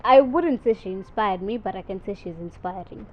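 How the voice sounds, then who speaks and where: A woman's voice: medium pitch, normal speed. Confident young woman. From South Sudan. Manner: confident, chatty, code-switching. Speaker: podcast guest, content creator. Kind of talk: casual interview chat, mixing English and Swahili. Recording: muffled podcast audio with faint background noise.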